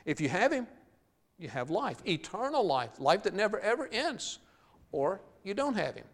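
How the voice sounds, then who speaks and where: A male pastor delivers a sermon in a church, earnest in tone. He slows and stretches his key words to press a point home. His voice rings with the long reverb of a large sanctuary. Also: high-pitched, sing-song, fairly bright tone.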